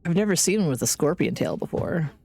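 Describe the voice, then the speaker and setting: A woman live-streaming a game with casual, playful commentary, chattering to herself, her voice deepened by being sick. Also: animated, a little fast, creaky, darkish tone, husky.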